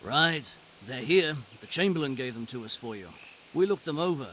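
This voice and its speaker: A man's voice: medium pitch, animated, moderate pace, a bit bright, slight background noise, natural voice. A man playing a friendly, admiring medieval castle workman in a video game cutscene. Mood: friendly, wry.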